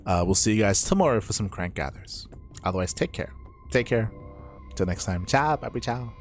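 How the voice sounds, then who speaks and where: Caster, male, lowish voice, hoarse and raspy, relaxed rambling sign-off chat. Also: moderate pace, soft background music. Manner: rambling, repeats himself, laughs often. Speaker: esports caster. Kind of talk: casual stream sign-off chat.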